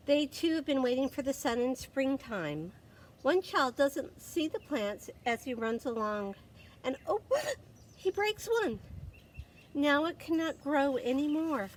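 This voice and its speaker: Female storyteller for young children: higher-pitched, very expressive read-aloud, faint background noise. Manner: expressive, dramatic pauses, gasps and exclamations for effect.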